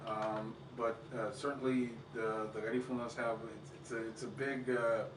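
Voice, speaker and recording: A man in his thirties, originally from Honduras, who speaks with a North American accent as a guest in a relaxed interview, often repeating words as he thinks. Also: medium pitch, moderate pace, noisy background. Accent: North American English. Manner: hesitant, repeats words, laid-back. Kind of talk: casual interview. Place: indoor office with African decor. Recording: echoey room, mic far off, guitar music behind.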